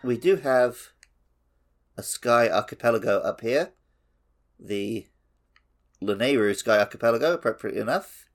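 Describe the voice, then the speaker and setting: A man's voice: medium pitch, lively intonation, moderate pace, long pauses. A man co-hosting a gaming podcast, chatting casually in a loose, conversational way. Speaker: podcast co-host.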